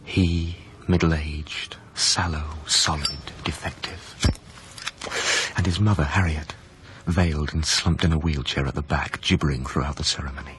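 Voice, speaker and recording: An actor playing a grieving, wistful lover, narrating a radio drama in a hushed, measured, brooding manner. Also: male voice, rumbling low, steady tone, low-quality recording. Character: grieving, wistful former lover recounting a haunted past.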